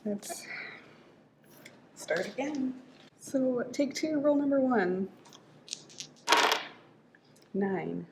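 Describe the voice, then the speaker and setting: A woman's voice: high voice, lively intonation, very slow, long pauses, dark tone, slight background noise, rough recording. A woman book vlogger chatting casually and informally to camera, thinking aloud as she goes. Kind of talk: casual chat to camera while playing a reading-list game.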